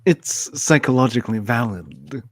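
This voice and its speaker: A woman playing a sly, amused ship's counselor in an amateur radio play, with a drawn-out, suggestive delivery. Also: very deep voice, lively intonation, a little mellow, slightly rough, dry recording, exaggerated voice.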